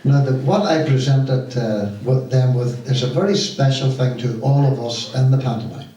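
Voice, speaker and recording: A middle-aged or older man with a Donegal Irish accent gives an informal, deliberate thank-you speech to an audience as a pantomime director. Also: mid-range voice, warm tone, muffled recording. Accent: Irish, County Donegal. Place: stage of a community hall. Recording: very echoey hall, distant mic, faint noise.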